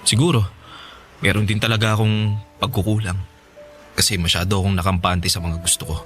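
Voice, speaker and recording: An actor playing a gentle, hesitant, heartbroken ex-boyfriend in a Filipino radio drama, with a Filipino accent. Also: male voice, deep voice, little pitch movement, fairly quick, soft background music. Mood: resigned, forgiving. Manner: hesitant, pleading, soft-hearted.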